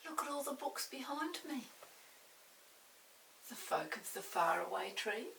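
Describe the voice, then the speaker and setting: A woman's voice: high voice, lively intonation, measured pace, gravelly, a bit bright. An older woman, a grandmother hosting storytime, reading to children with simple explanations and chatty questions. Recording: hissy, distant room microphone.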